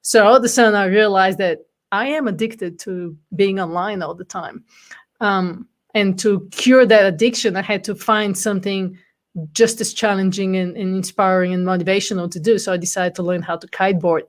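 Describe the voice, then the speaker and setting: A woman's voice: mid-range voice, fairly even intonation, moderate pace. A woman in her forties from Bulgaria, talking candidly as an interview guest, with frequent ums. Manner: frequent ums and light laughter, candid storytelling. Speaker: guest speaker. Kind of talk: casual interview chat.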